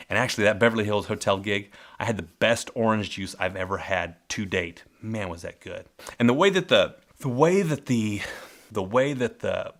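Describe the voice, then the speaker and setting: A middle-aged man telling old work stories in a casual, rambling way, often correcting himself mid-sentence, with a loud voice. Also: on the low side. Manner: rambling, self-correcting, warmly nostalgic storyteller. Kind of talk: casual spoken memoir. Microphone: close mic.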